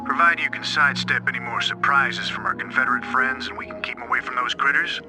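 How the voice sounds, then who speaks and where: An actor playing an easygoing, folksy frontier marshal with a relaxed drawl. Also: male voice, mid-range voice, fast talker, over music, natural voice, low-quality recording. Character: laid-back male frontier marshal, drawling.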